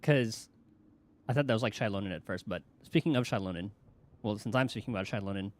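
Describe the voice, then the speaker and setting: A man's voice: on the low side, quick pace. A man livestreaming a game with casual, off-the-cuff, rambling commentary. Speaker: game streamer. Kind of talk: casual live game commentary, reading dialogue aloud and chatting. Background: game music.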